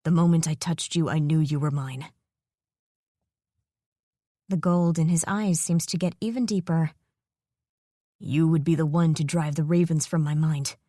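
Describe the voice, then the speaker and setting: A woman's voice: deep voice, measured pace, long pauses, dark tone, no echo, studio quality, voice pushed down. Woman voicing a solemn male dragon. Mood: tender. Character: male dragon, possessive mate, calm and solemn.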